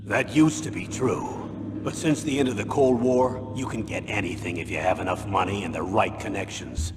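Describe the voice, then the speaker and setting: A man's voice: fairly low pitch, over music, natural voice. Man playing a grave colonel in echoing hall. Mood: explanatory. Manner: measured, formal, explanatory. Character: grave, authoritative middle-aged male military commander. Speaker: colonel giving a mission briefing. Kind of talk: dramatic video game briefing.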